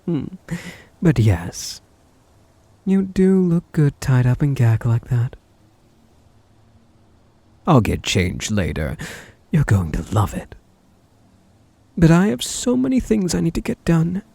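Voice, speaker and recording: A man acts out a possessive stalker groom for an ASMR roleplay. His voice is breathy and dark, swooping through sweet endearments that carry quiet menace. It is recorded close and dry. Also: mid-range voice, huge pitch swings, takes it slowly, long pauses, no room sound, exaggerated voice. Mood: coaxing, controlling. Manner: doting endearments laced with menace. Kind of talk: ASMR roleplay audio drama, addressing the listener.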